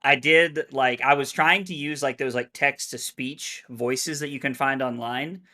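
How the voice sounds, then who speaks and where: A man with a bright, forceful voice chatting on a podcast. He rambles and fills his sentences with "like" at a mid pitch. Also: fairly even intonation, unhurried. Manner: rambling, heavy use of "like". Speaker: podcast co-host. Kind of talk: casual chat.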